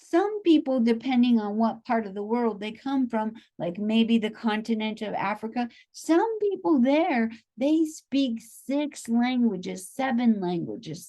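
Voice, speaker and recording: A woman teacher educator giving a classroom lecture, unhurried, thinking aloud with frequent pauses and checking in with her listeners. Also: on the high side, dark tone. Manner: unhurried, checks in often with "okay?" and "right?". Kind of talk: classroom lecture explaining a chart.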